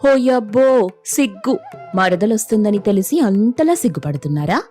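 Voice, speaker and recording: A woman's voice: mid-range voice, expressive, normal speed, dark tone, soft background music, lightly acted. A woman playing an anxious, jealous wife and elder sister who scolds and bosses in a family story. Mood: sarcastic. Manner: scolding, suspicious.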